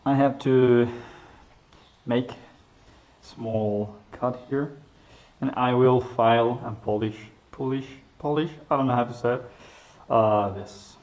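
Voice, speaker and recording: Spanish-accented man, garage vlogger, slow halting delivery, mid-pitched. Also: fairly even intonation, darkish tone, dull audio. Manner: halting, searching for English words with many fillers. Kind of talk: casual workshop talk, switching between English and Spanish. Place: home garage workshop.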